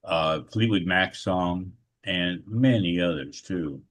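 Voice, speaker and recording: A man's voice: low-pitched, slow pace. A man chatting casually and conversationally as a panelist on a podcast.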